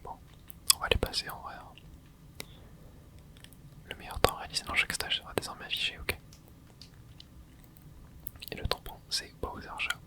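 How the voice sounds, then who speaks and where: A host whispering casual ASMR commentary over gameplay, reacting excitedly and chatting to viewers. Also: normal speed, long pauses. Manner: casual, chatty, self-correcting. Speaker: channel host.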